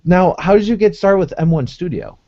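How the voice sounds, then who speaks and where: A man's voice: high voice, expressive, a little fast, warm tone. A man hosting a casual interview over a video call, chatting through a built-in webcam microphone. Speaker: host, interviewer. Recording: dull lo-fi web video call.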